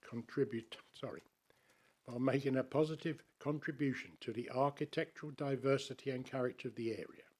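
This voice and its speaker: A man, a councillor, reads a prepared objection to a planning committee in a measured, formal way with dry asides, in a council chamber. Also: mid-range voice, a little mellow, slightly rough. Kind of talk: reading a prepared statement to a committee.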